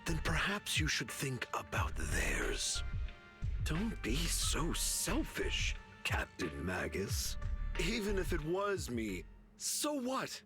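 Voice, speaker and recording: A man's voice: a little high, wildly swooping pitch, takes it slowly, heavily acted. A man playing an arrogant, scornful military general in a video game, haughty and berating, with music behind him. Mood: cold contempt. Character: arrogant, scornful military general, self-justifying older commander. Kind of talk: dramatic video game acting.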